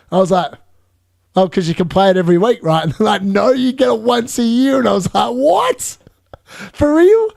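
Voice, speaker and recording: A man in his late thirties hosting a live fantasy football stream, chatty and excitable, laughing often as he answers viewer questions. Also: high-pitched, no room sound, clean studio sound. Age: 30s.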